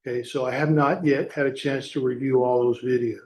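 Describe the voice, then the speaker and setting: Flat-voiced man lawyer, echoey room. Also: medium pitch. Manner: halting, fills with uh. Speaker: defense attorney. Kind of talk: courtroom address. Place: courtroom. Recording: echoey courtroom, faint noise.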